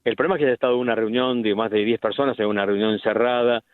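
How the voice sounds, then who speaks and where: A middle-aged man with an Argentine accent, a medical specialist explaining things deliberately in a radio interview, with frequent restarts. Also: lowish voice, steady tone, clear, resonant tone, no room sound. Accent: Argentine Spanish. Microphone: phone line. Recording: tinny phone line, dry.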